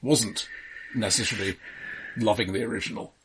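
A man co-hosting a podcast in casual film discussion, explaining things in a halting, analytical way. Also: on the low side, steady tone, noisy background. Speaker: podcast co-host.

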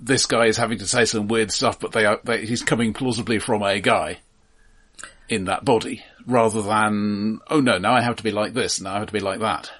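Husky-voiced, dry, opinionated man. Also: lowish voice, fairly even intonation, brisk, a bit bright. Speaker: podcast co-host. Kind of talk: casual film discussion.

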